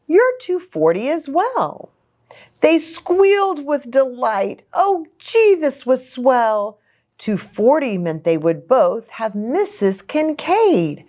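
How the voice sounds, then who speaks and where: A woman hosting a children's story time, reading a picture book in an expressive, sing-song way and speaking to young children. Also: fairly high pitch. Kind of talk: animated children's picture-book read-aloud.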